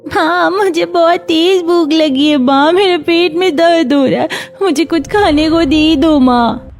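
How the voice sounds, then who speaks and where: A woman's voice: very high voice, a little slow, faint music behind. A woman voicing a hungry little seven-year-old boy who whines and pleads in a cartoon story. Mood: pleading, tearful.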